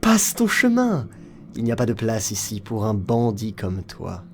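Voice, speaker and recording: A man's voice: lowish voice, wildly swooping pitch, unhurried, darkish tone, heavily acted. A man playing a vindictive, mocking devil in a French audio drama. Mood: mocking menace. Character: gloating, vindictive male devil guarding hell.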